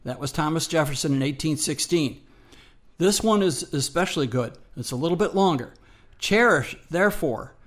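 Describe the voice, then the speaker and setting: A man with a fairly high voice co-hosts a radio show. He comments conversationally and presses his points, often repeating a phrase for emphasis.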